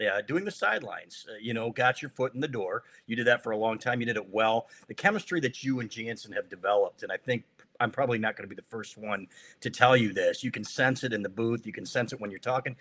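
A man's voice: medium pitch, quick pace, a bit bright. Teasing male sports podcast host. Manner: teasing, joking. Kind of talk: casual sports chat.

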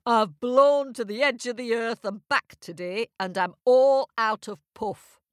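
A woman voicing a grumpy, blustery male north wind who roars and booms gruffly. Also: unhurried, no room sound, clean studio sound, lightly acted. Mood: roaring. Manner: roaring, booming, gruff.

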